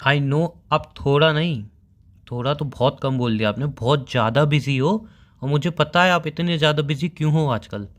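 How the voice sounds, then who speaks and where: A man's voice: on the high side, normal speed, natural voice. A young man playing a hurt, sulky boyfriend in an everyday phone-call drama, sarcastic and reproachful.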